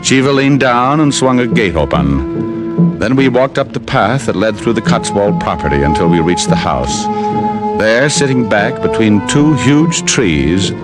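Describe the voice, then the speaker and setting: A man's voice: on the low side, big pitch swings, a little slow. A man as a wisecracking private detective who narrates and talks in a 1950s radio drama. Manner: wry, wisecracking, hard-boiled. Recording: vintage radio broadcast with music.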